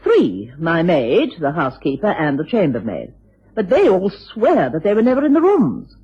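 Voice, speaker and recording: A muffled, rough-edged old radio drama recording of an aristocratic English widow. Her upper-class voice is genteel and formal, swinging expressively with theatrical dignity. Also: fairly low pitch, wildly swooping pitch, moderate pace, heavily acted. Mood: composed, regretful.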